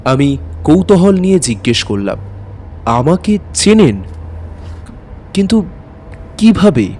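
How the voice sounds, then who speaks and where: A man acts as a polite, curious tutor telling a ghost story in the first person. His voice is highish and very expressive, over faint ambient noise. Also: takes it slowly. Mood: uneasy, curious. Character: anxious, courteous male maths tutor recounting an eerie night. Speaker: narrator and lead character.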